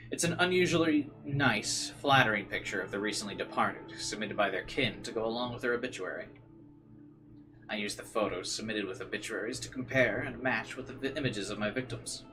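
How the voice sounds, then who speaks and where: A man's voice: fairly low pitch, fairly quick, over music, low-quality recording. A man reading visual novel narration aloud as a streamer, dropping into informal side comments and occasionally stumbling over words.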